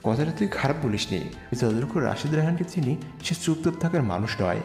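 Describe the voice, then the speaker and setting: A man's voice: on the high side, animated, a little fast, darkish tone. A man playing a bold, scheming leader of a slum political gang in an audio drama, assured and commanding. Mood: confident. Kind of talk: amateur audio drama acting. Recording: echoey reverb with music behind.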